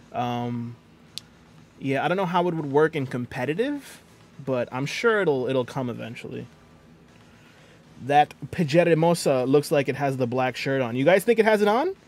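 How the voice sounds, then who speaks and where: A man streaming a video game, chatting playfully and reading viewers' messages aloud. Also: medium pitch. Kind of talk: casual live-stream chat while gaming.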